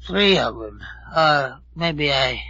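A rough, edgy drunk from an old radio mystery. He is bewildered and grumbling, full of ungrammatical slang and hesitations. The recording is muffled and dry. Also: on the high side, lively intonation, slow, bright, forceful tone, no room sound, exaggerated voice. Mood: muddled. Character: hungover drunk small-time saloon regular, surly and bewildered.

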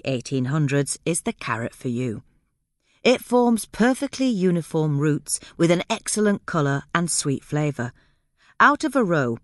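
Female narrator for scripted gardening podcast: animated pitch movement, measured explanatory read, very dry close sound. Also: dry recording.

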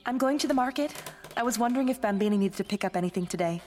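Actress as protective young friend, higher voice. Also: female voice, racing, a little mellow, slight background noise, natural voice. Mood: polite. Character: caring, protective young Sicilian woman, the narrator's close friend. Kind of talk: cinematic radio play acting.

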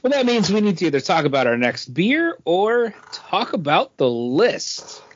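A man's voice: high-pitched, very animated, a little slow. A man speaking as a podcast co-host in casual, informal review-style chat. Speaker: podcast co-host, works at a beer store. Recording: dull, lo-fi podcast audio.

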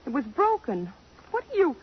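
A woman's voice: high-pitched, wildly swooping pitch, normal speed, slight background noise. Young career woman, bewildered, indignant, widely varied intonation, vintage radio play, dull lo-fi sound. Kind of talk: 1950s radio drama acting. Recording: muffled 1950s radio recording.

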